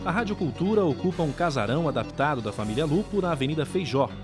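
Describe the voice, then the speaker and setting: Brazilian man narrating with lively intonation over music. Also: on the high side, fast. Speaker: documentary narrator. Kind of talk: scripted narration.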